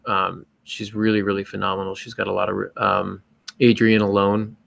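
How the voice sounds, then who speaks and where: A man's voice: lowish voice, little pitch movement, normal speed, gravelly, fairly bright tone. A man hosting a podcast with casual, joking chat, enthusiastic and somewhat rambling. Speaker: podcast host.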